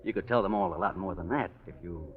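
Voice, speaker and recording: An actor playing a smooth-talking, slangy gambler with a criminal edge in a 1940s radio drama. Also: male voice, low voice, quick pace. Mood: smooth, coaxing. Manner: slangy, breezy, persuasive. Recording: dull vintage 1940s radio recording.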